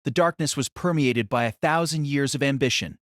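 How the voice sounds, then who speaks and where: A man's voice: medium pitch, brisk, no room sound, studio quality. A man narrating a light novel in a dramatic, scripted storytelling style.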